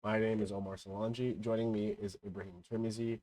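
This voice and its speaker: A man hosting a casual gaming podcast, sounding congested and sick, relaxed and joking with lots of fillers. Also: on the low side, fast, poor audio. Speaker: podcast host.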